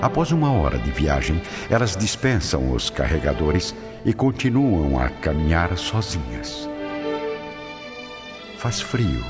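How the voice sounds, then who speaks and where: A man with a very deep voice narrates a radio drama solemnly and theatrically. He speaks with lively intonation in a long reverb, over music. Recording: dull, reverberant, music underneath.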